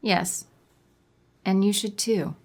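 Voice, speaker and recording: A woman's voice: medium pitch, fairly even intonation, slow. A woman playing a haughty, condescending villainous sorceress in an audio drama, with theatrical, menacing delivery. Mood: grave warning.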